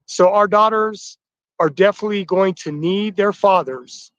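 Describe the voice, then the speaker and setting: A man in his fifties greets fathers on a livestream, with a stuffy, blocked-up nose from a bout of flu. His voice sits fairly high for a man, and his welcoming, upbeat delivery comes through dull, dry, lo-fi stream audio. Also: normal speed, fairly bright tone, clear, resonant tone, no room sound. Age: 50s. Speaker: host of a livestream. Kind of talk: livestream address to viewers.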